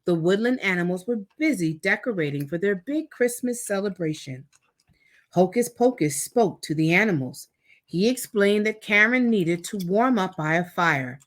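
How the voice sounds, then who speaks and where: Female storyteller, lively and varied intonation, expressive read-aloud for young children, acts out dialogue. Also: mid-range voice, moderate pace. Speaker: storytime reader.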